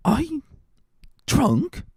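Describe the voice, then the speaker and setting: A tipsy, good-natured drinker from a classic storybook. His voice rides high and lurches up and down. The words drag out in a slurred, boastful ramble. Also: wildly swooping pitch, very slow, a little mellow, no echo, studio quality, heavily acted. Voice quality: drunken drawl, pitched up.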